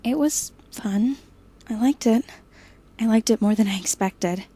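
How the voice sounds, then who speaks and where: An actress playing an anxious young woman in an audio drama, hesitant and halting in her speech. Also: on the high side, warm tone, husky. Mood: tentative. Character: anxious young woman Ophelia, police chief's daughter, pleading.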